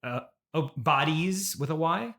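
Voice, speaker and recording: A man hosting a comedy interview, hesitant and politely puzzled, keeping a deadpan tone. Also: on the high side, unhurried. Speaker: podcast host, interviewer.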